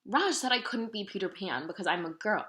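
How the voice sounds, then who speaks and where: A woman's voice: medium pitch, expressive, fairly quick, bright, forceful tone. A woman voicing an earnest, determined young schoolgirl in a children's storybook, speaking plainly. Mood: sad. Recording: roomy, echoing room sound.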